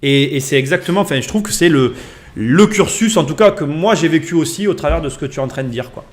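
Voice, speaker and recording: Chatty host with a slightly high voice. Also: male voice, expressive, fast talker. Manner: chatty, hesitant, laughs easily. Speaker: podcast host. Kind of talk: live-stream interview.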